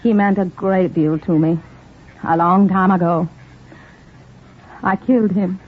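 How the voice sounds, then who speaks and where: An actress playing a poised, seductive Parisian nightclub singer and secret agent in a 1950s radio drama. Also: female voice, fairly low pitch, fairly even intonation, a little slow. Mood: subdued grief. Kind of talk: 1950s radio drama acting, acted dialogue and narration. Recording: muffled 1950s radio, loud music behind.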